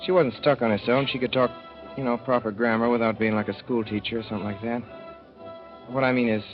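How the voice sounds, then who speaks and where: A rambling, boyish young Midwestern man, as an actor plays him, telling his story in plain folksy talk. His voice sits at an average pitch. The sound is dull old radio, with music underneath. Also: steady tone, quick pace, lightly acted. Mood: earnest. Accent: Midwestern American. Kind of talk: 1930s radio drama narration.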